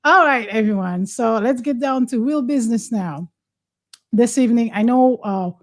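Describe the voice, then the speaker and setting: A woman, hosting a talk show in a chatty, casual way. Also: mid-range voice. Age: 40s. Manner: chatty, warm teasing, laughs often. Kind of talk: casual chat.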